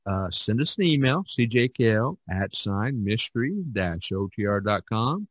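A man hosting a podcast in casual, halting talk, spelling things out as he goes. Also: lowish voice, no echo. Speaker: podcast host. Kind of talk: casual chat and sign-off.

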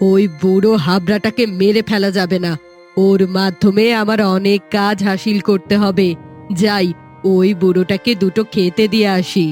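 A woman's voice: medium pitch, flat delivery, normal speed, soft background music, close to an everyday voice. A woman voicing a greedy, spiteful village mother in a fairy-tale cartoon, scornful and scheming. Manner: sharp-tongued, scornful.

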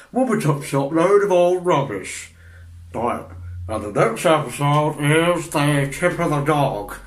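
A young man, chatting in a rambling way for a reaction vlog in his bedroom, restarting sentences, clearing his throat and swearing often. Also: fairly high pitch, animated, slow. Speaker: vlogger reacting to videos. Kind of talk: casual reaction vlog chat. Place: small bedroom indoors.

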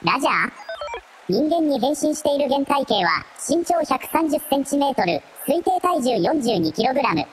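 A woman's voice: high-pitched, big pitch swings, normal speed, rough recording. A woman playing a coolly analytical assistant creature in a radio drama, reporting in clipped, formal phrases. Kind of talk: Japanese radio drama acting.

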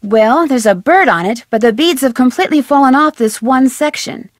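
A woman voicing an earnest, inquisitive teenage detective in a video game, explaining things clearly with an American accent. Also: high voice, expressive, moderate pace, no echo, studio quality. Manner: clear-headed, explaining clues.

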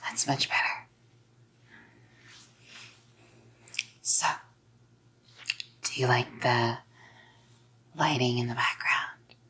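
Girl acting as a creepy clingy psycho girlfriend, asmr roleplay, slow breathy whisper, cooing threats. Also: extremely low pitch, fairly even intonation, bright, forceful tone, full character voice. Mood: affectionate. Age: young adult. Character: obsessive, menacingly sweet psycho girlfriend captor. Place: small dim room indoors. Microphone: close ASMR mic.

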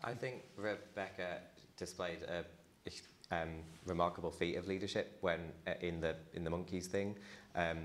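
Low-voiced hesitant man on a panel. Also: a bit bright. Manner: hesitant, many fillers. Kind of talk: panel discussion. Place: university event hall.

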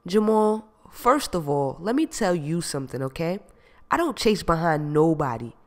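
A woman's voice: fairly low pitch, normal speed, darkish tone, voice pushed up, close to an everyday voice. A man reading an audiobook, voicing a sassy young woman who brushes off her ex. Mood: defiant. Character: young woman, cool and dismissive, then defiant.

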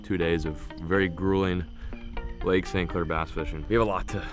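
A young man in his twenties vlogging excitedly from a small bass boat on a lake, talking to an action camera. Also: moderate pace, over music. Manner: upbeat, excitable, hypes the action. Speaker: fishing vlogger. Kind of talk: casual vlog narration.

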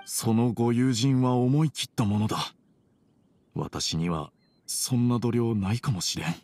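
A man's voice: mid-range voice, measured pace, warm tone, no room sound. An actor playing an anxious, polite middle-aged father in a video game, with a reserved, hesitant manner. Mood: reserved, unsure. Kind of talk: video game dialogue acting.

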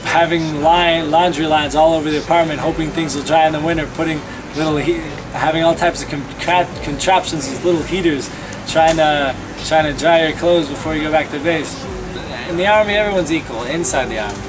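A man's voice: fairly high pitch, a little fast, low-quality recording. A young soldier gives an interview outdoors, telling his story in a rambling way and restarting phrases. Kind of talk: interview testimony.

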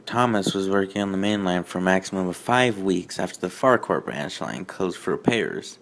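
A man hosting a review show, reading aloud and commenting with mock-indignant, deadpan sarcasm. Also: deep voice, moderate pace, a little hiss or hum. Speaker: host of a fan fiction review show.

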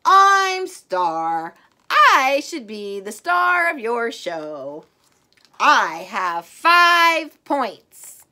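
A woman plays a proud storybook star certain of getting the lead. The voice is high and bright, self-assured and unhurried. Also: very animated, heavily acted. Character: confident star shape expecting the lead.

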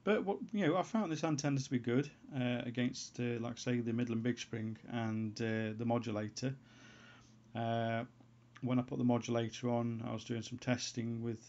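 A man giving an unscripted how-to voice-over as a hobbyist presenter, in a halting way, as if thinking aloud. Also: mid-range voice, vocal fry, a little mellow. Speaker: hobbyist presenter of a homebrew antenna tutorial. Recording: dull, lo-fi sound.

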